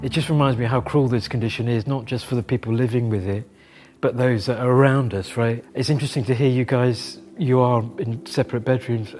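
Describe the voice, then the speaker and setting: A man's voice: mid-range voice, fairly even intonation, brisk, darkish tone, soft background music. A British man co-hosting a podcast, chatting thoughtfully and conversationally, often tagging his points with "right?" or "you know". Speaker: podcast co-host. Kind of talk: casual podcast chat.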